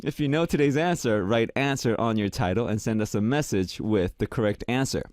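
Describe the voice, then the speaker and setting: A young man from Korea, speaking English as a second language with a deep voice, hosting a casual radio show and chatting about listener messages. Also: fairly quick, no room sound, studio quality. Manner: relaxed, chatty, reads listener messages aloud.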